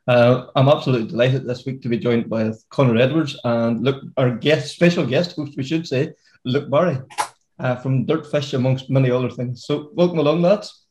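Mellow-voiced podcast host. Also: male voice, mid-range voice, normal speed, poor audio. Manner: chatty, upbeat, many fillers. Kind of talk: casual podcast chat.

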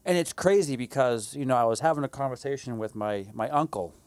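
A man co-hosting a relaxed faith podcast, mid-pitched and conversational. He stops and restarts his sentences as he thinks aloud. The recording is close and dry. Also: no echo. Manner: halting, restarts phrases, playful. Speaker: podcast co-host. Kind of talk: casual faith discussion.

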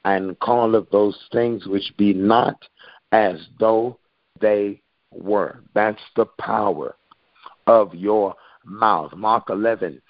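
Low-voiced preaching man on a call. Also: dry recording, highs cut off. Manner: preacherly, quotes King James scripture with emphasis. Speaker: pastor and prophet. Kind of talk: preaching update to his congregation. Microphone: phone call.

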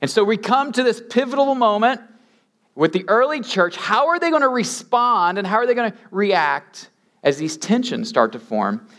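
A man preaching a sermon in a lively, storytelling way. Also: high voice.

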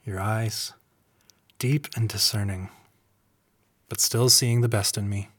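A man acting a well-meaning but self-satisfied husband in an audio drama, earnest and condescending, halting when challenged. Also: fairly low pitch, steady tone, a bit bright. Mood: fond, nostalgic.

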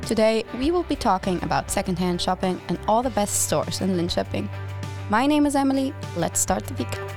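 A woman's voice: mid-range voice, expressive, brisk, over music. A young woman from Germany hosting a casual student podcast, chatty and full of fillers. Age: young adult. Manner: chatty, friendly interviewer with fillers. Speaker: podcast host.

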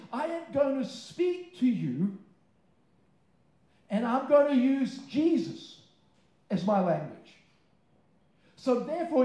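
Male preacher, English as second language, high voice with a soft-edged mellow tone; emphatic sermon delivery that stretches key words. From born abroad, moved to Australia aged nine. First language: not English; English is a second language. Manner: emphatic, stretching key words, then quick informal asides; rhetorical questions to the listeners. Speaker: pastor preaching. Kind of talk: sermon to a live congregation. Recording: roomy, echoing live sermon sound.

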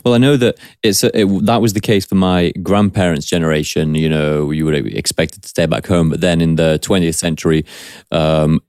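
Man, podcast host, deep voice, dry close mic, faint music, hesitant questions. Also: fairly even intonation, darkish tone, clear, resonant tone, no room sound. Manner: measured, hesitant questions with fillers. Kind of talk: scripted intro and casual interview.

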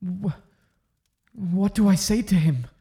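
An actor playing a timid, obedient young Puritan sheriff and nephew in an audio drama, hesitant and deferential. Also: male voice, high voice, takes it slowly, creaky, warm tone, heavily acted. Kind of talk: full-cast audio drama acting.

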